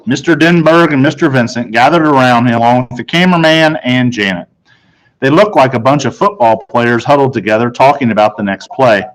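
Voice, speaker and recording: Guy reading a kids book out loud, narrating the story, middle-pitched voice. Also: fairly quick, poor audio. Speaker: story reader. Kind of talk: scripted narration of a children's novel.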